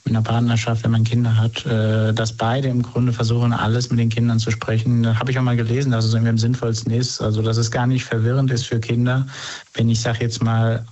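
A man's voice: medium pitch, warm tone, highs cut off. A man answering interview questions casually, somewhat hesitant and rephrasing as he searches for words. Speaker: interview guest.

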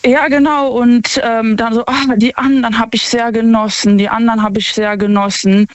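Halting young woman caller, dry sound. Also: a little high, little pitch movement, no echo, lo-fi sound. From Düsseldorf. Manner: halting, repeats words, stammers when worked up. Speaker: caller to a radio show. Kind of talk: casual, opinionated phone talk. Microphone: phone.

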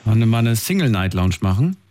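A man's voice: fairly low pitch, very animated, a little fast, darkish tone. A man hosting an evening radio call-in show, asking callers friendly, probing questions. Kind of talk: casual call-in radio talk.

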